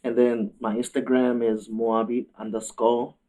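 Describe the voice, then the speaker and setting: A man with a South African accent speaks as a guest artist in an interview. His voice sits at a medium pitch, and he answers in a conversational way. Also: flat delivery, a little slow, dark tone.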